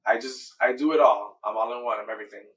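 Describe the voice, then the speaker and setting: A man's voice: medium pitch, quick pace, a bit bright. Enthusiastic man in his thirties, distant mic. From Miami, Florida, USA. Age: 30s. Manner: earnest, enthusiastic, repeats himself. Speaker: dancer and choreographer, guest. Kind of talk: casual interview answers.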